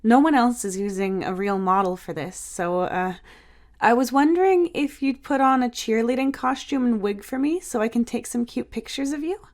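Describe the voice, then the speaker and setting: A breezy, bossy young sister played by a woman narrator for an audiobook, lifted high above her normal reading voice. She teases and coaxes in an exclamatory way, but she cares underneath. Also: expressive, moderate pace, darkish tone, lightly acted. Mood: coaxing. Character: teasing, bossy but caring older sister, young beautician. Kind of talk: audiobook character dialogue.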